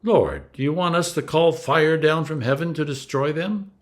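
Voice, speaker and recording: An eager disciple , played by a male reader, speaks earnestly in a Bible story. The voice is lifted in pitch and swings with enthusiasm. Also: big pitch swings, normal speed.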